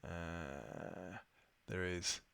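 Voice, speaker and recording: A man presenting an unscripted software tutorial, thinking aloud with a hesitant delivery as he walks through his screen. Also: deep voice, fairly even intonation, extremely slow, gravelly, clear, resonant tone. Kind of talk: unscripted screen-share walkthrough.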